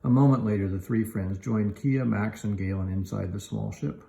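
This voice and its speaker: Creaky-voiced man narrating a storybook. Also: lowish voice, moderate pace, warm tone. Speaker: elementary teacher reading aloud. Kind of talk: animated children's storybook narration.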